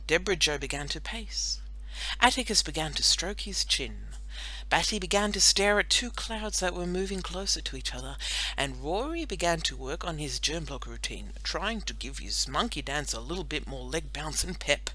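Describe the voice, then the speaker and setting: A woman narrating a children's audiobook in an animated storytelling style. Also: deep voice, poor audio.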